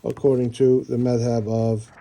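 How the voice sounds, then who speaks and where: A middle-aged American man showing and describing books in a relaxed, rambling way, with many fillers and restarts. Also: mid-range voice, moderate pace, vocal fry, a little hiss or hum. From United States. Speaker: book reviewer. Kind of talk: casual book show-and-tell.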